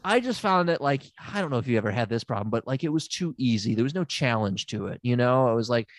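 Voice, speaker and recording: A man's voice: medium pitch, lively intonation, fast, dry recording, close to an everyday voice. A man playing a smug, unqualified therapist who rambles and stammers in an improvised comedy sketch. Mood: rambling. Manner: rambling, stammering, self-assured.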